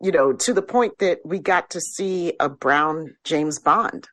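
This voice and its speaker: Female podcast host, notably low voice, dry close sound, measured conversational delivery. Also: fairly bright tone, no echo. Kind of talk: casual interview chat.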